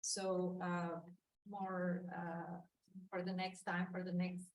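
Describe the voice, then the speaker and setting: A woman's voice: fairly low pitch, completely flat delivery, takes it slowly. A woman giving an academic conference talk, hesitant, with many fillers and restarts. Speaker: conference panel speaker. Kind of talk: academic presentation. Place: conference hall. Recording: roomy hall mic from far off with hiss.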